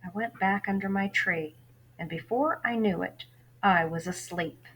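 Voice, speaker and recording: A woman's voice: mid-range voice, a little slow, a bit bright, breathy edge, rough recording. A young orphan boy voiced by a woman reading a storybook tells his own story in plain, folksy words. He grumbles with childlike exasperation, in an everyday voice. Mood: resolute. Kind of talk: first-person children's novel read-aloud.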